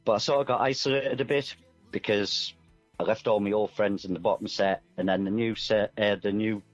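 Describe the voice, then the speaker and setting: A man's voice: lowish voice, steady tone, fairly quick, dull audio. A middle-aged man chatting casually and reflectively as a musician guest in a radio interview. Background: music playing underneath.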